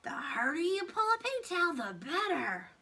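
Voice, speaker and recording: A woman's voice: very high voice, big pitch swings, bright, forceful tone, far from the mic, pitched above the performer's own voice, exaggerated voice. A woman voicing a sly talking pigtail in a storybook, coaxing and whining in a small, tempting voice. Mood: wheedling. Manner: drawn-out wheedling, whining.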